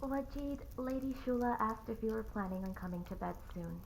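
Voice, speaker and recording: A woman's voice: a little high, fairly quick, gravelly, noisy background. A woman playing a household servant relaying a message in an ASMR roleplay. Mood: deferential.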